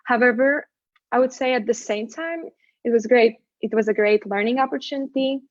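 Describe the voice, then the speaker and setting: A young Latvian woman whose first language is Latvian, answering in a measured, slightly rehearsed way. Her voice sits a touch high. The recording is dry and a bit dull. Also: dry recording. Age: young adult. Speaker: international student athlete. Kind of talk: interview answers.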